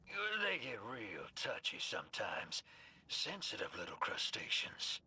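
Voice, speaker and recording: A man's voice: lowish voice, huge pitch swings, slow pace, pushed and bright, heavily acted. A man playing a cocky, wry scout in a video game, with a folksy, wisecracking way of talking. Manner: wisecracking, folksy, laid-back. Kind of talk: scripted video game dialogue. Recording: clear background noise of game ambience.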